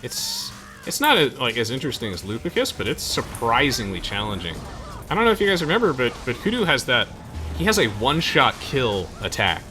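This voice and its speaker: Animated, bright-voiced young man commentating gameplay. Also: medium pitch, fairly quick. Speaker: gaming video host. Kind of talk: casual let's play commentary. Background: faint game music.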